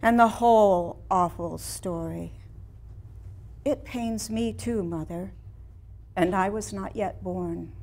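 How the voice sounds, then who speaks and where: A woman in her sixties playing an ailing, reflective elderly woman painter in a staged dramatic monologue, with theatrical, measured delivery and long pauses. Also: mid-range voice, a little mellow. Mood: pained. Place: small indoor room before a seated audience.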